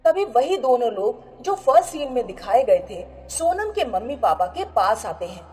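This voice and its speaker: Lively woman narrating a horror story. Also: on the high side, moderate pace.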